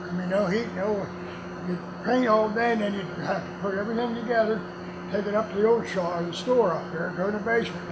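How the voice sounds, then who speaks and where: A man in his seventies rambling through casual anecdotes in a folksy, salty way. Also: high voice. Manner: folksy, salty, laughs while telling stories. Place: indoors in a warehouse with block walls. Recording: clearly noisy warehouse room ambience.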